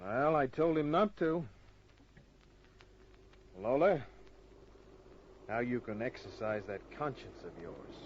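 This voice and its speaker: An actor playing a dry, world-weary private detective in an old radio crime drama, narrating and wisecracking. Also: male voice, mid-range voice, expressive, slow, pauses often, a little hiss or hum. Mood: tough, taunting. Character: hard-boiled, wisecracking male private detective. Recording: muffled old radio recording with music.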